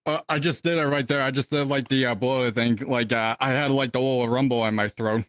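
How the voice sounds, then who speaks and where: A man's voice: mid-range voice, steady tone, a little fast, a bit bright, no room sound. A young man chatting casually as a podcast guest, rambling with lots of fillers and restarts. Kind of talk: casual chat.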